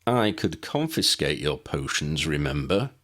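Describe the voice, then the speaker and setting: A man's voice: a bass voice, very animated, measured pace, fairly bright tone, exaggerated voice. A man playing a stern, protective wizard officer.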